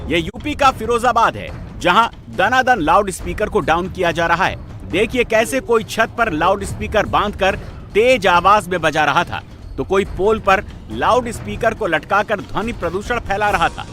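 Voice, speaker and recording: A man's voice: sits high, lively intonation, normal speed, sharp tone, faint music behind. A man reading a dramatic scripted TV news voice-over in a punchy, emphatic way. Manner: punchy, emphatic, repetitive phrasing. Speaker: news reporter narrator.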